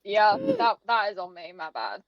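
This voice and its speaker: A woman chatting casually as a player in a tabletop roleplaying game, animated and self-deprecating. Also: medium pitch, moderate pace, dull audio. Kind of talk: casual improvised roleplay chat.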